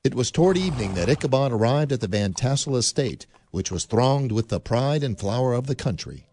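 A man narrating a classic tale in a measured storytelling manner. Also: medium pitch, lively intonation, darkish tone, a little hiss or hum. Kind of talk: scripted narration.

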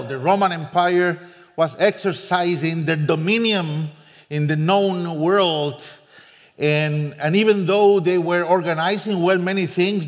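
Costa Rican man preaching slowly in a reverberant church. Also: a little high. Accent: Costa Rican Spanish. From Costa Rica. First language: Spanish. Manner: self-deprecating, earnest, halting restarts. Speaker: guest preacher. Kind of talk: sermon. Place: church sanctuary.

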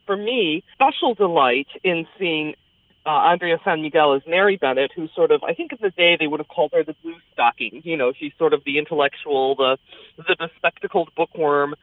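A woman's voice: low voice, brisk, dry recording. Female theater critic, conversational and keen, with narrow telephone-style audio and no room sound. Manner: chatty, enthusiastic. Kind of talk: conversational radio review. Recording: thin phone-like sound, dry.

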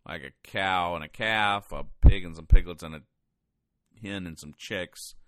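A man with a fairly low voice talks through comic strips as a podcast host. His delivery is casual and deadpan as he describes and explains each one. The sound is dry, with very little room around it. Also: normal speed, no room sound.

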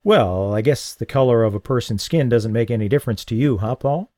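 A man's voice: mid-range voice, fairly quick, mellow, no room sound, studio quality, natural voice. A male narrator voicing a radio host who speaks in a direct, firm way. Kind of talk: audiobook reading.

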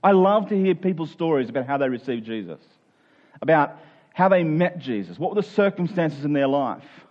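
A male preacher with a fairly high voice teaches from scripture in a conversational way. He explains each point, repeats key phrases and checks that listeners are following. The recording sounds a little dull and lo-fi. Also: lively intonation, moderate pace. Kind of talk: expository Bible teaching sermon, conversational.

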